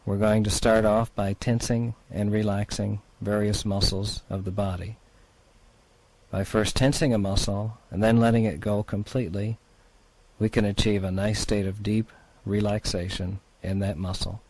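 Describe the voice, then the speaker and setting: Low-voiced man guiding relaxation. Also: little pitch movement, poor audio. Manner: soothing, unhurried, gently instructing. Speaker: therapist guiding a relaxation exercise. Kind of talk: guided relaxation instruction.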